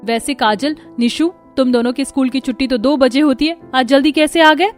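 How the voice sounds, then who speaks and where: A woman plays a sharp-tongued mother-in-law from a poor cartoon household. Her voice sits fairly high as she nags and complains, with music underneath. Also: brisk, natural voice. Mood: exasperated. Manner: nagging, complaining. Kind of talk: Hindi cartoon story acting.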